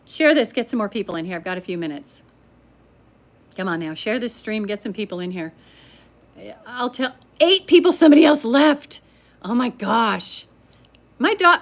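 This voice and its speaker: Chatty older woman, slightly high voice. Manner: chatty, laughs often, talks to viewers in the chat. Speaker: livestream storyteller. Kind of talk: casual livestream chat. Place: home living room.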